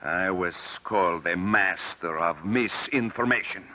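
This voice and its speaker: An actor playing a bitter, defiant professor suspected of murder, speaking tersely and stiffly in an old radio drama. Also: male voice, low-pitched, a little slow, slight background noise. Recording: dull, lo-fi old radio recording.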